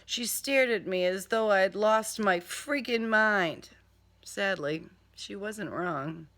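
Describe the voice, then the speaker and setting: Slow, dramatic woman reading crime fiction. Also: medium pitch, a bit bright. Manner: deliberate, dramatic, sardonic. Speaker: author reading own crime novel. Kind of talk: dramatic first-person story narration.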